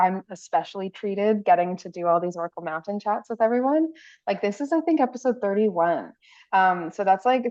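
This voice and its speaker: A woman's voice: on the low side, darkish tone, dull audio. A woman hosting a casual chat show, telling stories with frequent laughter and fillers. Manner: storytelling, laughs often, many fillers. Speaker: podcast host.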